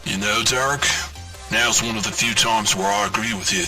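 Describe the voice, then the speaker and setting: A man's voice: medium pitch, sing-song, normal speed, gravelly, pushed and bright, faint music behind, heavily acted. A man playing a no-nonsense vigilante teammate in a video game, recorded at some distance. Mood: needling. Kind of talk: cinematic video game dialogue.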